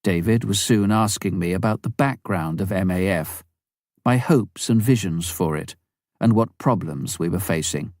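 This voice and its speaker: A man with a low-pitched voice narrates a memoir as an audiobook reader. His intonation moves up and down in a lively way, and the sound is close and dry, with very little room in it. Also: dry recording.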